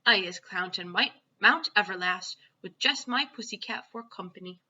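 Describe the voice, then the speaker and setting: Woman as an excitable, boastful dreaming child. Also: mid-range voice, moderate pace, muffled recording. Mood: proud. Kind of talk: children's storybook read-aloud.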